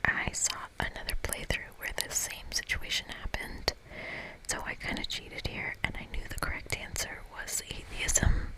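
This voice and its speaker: A woman's voice: moderate pace, rough recording. Playful woman whispering ASMR. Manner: playful, informal. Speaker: ASMR creator. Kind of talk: whispered ASMR game narration and chat.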